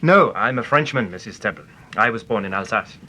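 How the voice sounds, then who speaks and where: An actor playing a precise, formal French investigator in a radio detective drama, speaking insistently. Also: male voice, fairly low pitch, lively intonation, brisk, bright, forceful tone, slight background noise. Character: intense, precise French male investigator from the continent.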